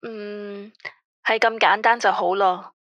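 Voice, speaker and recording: A woman's voice: medium pitch, fairly even intonation, slow pace, a bit bright, dry recording, lo-fi sound. A woman playing a tired, uneasy married caller to a late-night radio show in a radio drama, speaking guardedly in Hong Kong Cantonese. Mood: weary, hesitant. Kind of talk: radio drama phone-in dialogue.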